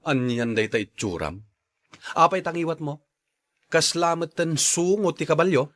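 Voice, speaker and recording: Animated, exasperated man played by an actor. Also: on the high side, a little slow. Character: blunt, exasperated man challenging a gossip's tales. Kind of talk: radio drama acting.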